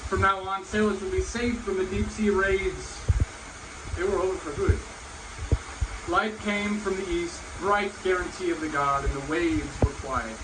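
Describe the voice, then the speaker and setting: A man's voice: high voice, unhurried. A man playing a boastful heroic warrior, declaiming an epic monologue with proud, dramatic delivery. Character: boastful heroic warrior recounting sea battles. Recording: echoey room, clear background noise.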